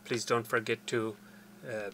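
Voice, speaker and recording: An older man explaining a technical procedure step by step in a halting, deliberate way. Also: on the low side, flat delivery, fairly bright tone, a little hiss or hum. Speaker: tutorial presenter. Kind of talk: hands-on technical tutorial, explanatory. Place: small cluttered indoor workshop.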